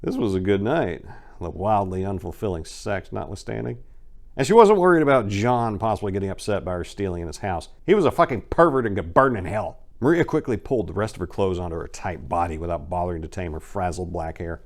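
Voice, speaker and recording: A man's voice: sits low, lively intonation, a little fast. A man narrating a crime short story as a dramatic reading, with theatrical, emphatic delivery.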